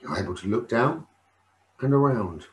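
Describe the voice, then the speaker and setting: A man leading a guided meditation in a soothing, unhurried manner with frequent pauses. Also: medium pitch, expressive, a little hiss or hum. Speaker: meditation teacher.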